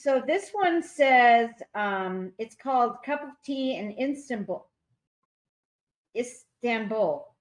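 A woman's voice: on the high side, lively intonation, takes it slowly. A woman on a video call reading artwork descriptions aloud at a public meeting, stumbling over unfamiliar names. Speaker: public arts commissioner.

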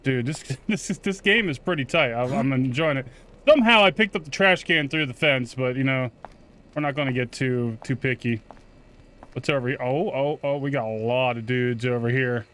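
A man's voice: medium pitch, normal speed, a bit bright. A man giving casual, slangy gameplay commentary, swearing and talking back to the game's characters. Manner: profane, slangy, talks to game characters. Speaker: gaming YouTuber. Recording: game music behind the voice. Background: video game music.

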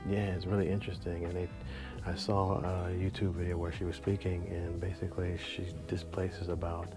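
A man in his forties, a travel vlogger, musing aloud with fillers while browsing a large library. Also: low-pitched. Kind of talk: casual vlog commentary. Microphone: handheld or chest-mounted action camera. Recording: clear background music under voice. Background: background music.

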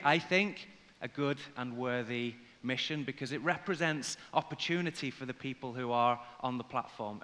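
Bright-voiced English man presenting in a hall. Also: medium pitch. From Liverpool, England. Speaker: corporate conference speaker. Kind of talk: conference presentation to an audience. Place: large indoor conference hall, on stage. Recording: reverberant hall sound from stage.

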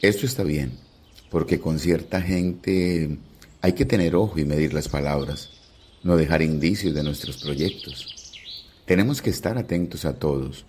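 An actor playing a frowning, wary and distrustful disciple in a radio drama. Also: male voice, very deep voice, unhurried, darkish tone. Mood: wary, stern. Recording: faint noise, birdsong behind.

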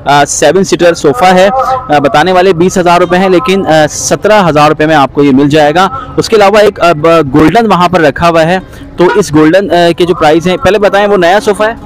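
A man hosting a walking vlog at an outdoor street market, cheerfully pitching furniture and repeating prices back to the viewer. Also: moderate pace, soft background music, low-quality recording. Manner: upbeat promotional patter, repeats prices back. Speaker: vlog host. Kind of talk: walking market tour, bargaining with sellers.

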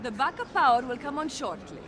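A woman playing a formal, composed auctioneer in a video game cutscene, announcing in a measured way to a hall. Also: high voice, big pitch swings, a crowd in the background, background noise. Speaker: game character voice actor. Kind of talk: video game cinematic acting. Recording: echoey distant hall, music behind.

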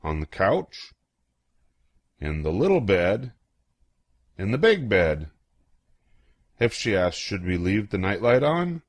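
An older man reading a children's picture book aloud in a playful, expressive way, acting out exclamations and questions. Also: lowish voice, slow pace, pauses often, dry recording, clean studio sound.

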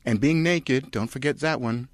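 A man's voice: mid-range voice, moderate pace, no room sound, voice pushed up, lightly acted. A man voicing an exasperated, anxious woman with an earnest, questioning manner in a comic script reading. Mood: pleading. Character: exasperated, anxious woman, pleading sister and wife.

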